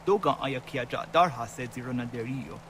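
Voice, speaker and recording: An actor playing a solemn, earnest villager in a historical video game, speaking in measured dramatic dialogue. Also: male voice, medium pitch, fairly bright tone, a little hiss or hum, close to an everyday voice. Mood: earnest, insistent.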